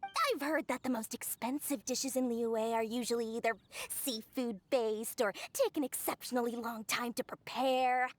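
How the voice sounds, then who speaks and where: A woman voicing a small, shrewd merchant in a video game, smooth-talking, wheedling and businesslike. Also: on the high side, steady tone, moderate pace, natural voice. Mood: wheedling, flattering. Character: small shrewd female merchant, slick, flattering, money-minded. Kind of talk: video game character acting.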